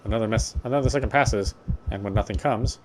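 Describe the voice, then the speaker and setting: A man's voice: lowish voice, quick pace, a little hiss or hum. A man streaming a game, reading its text aloud and commenting casually as a player.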